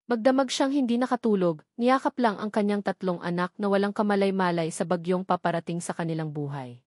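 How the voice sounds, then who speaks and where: Female narrator for dramatic love stories. Solemn, suspenseful, measured delivery, mid-range voice, very dry recording. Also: mid-range voice, a little mellow, no room sound. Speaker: narrator of a romance drama story. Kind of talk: scripted dramatic story narration.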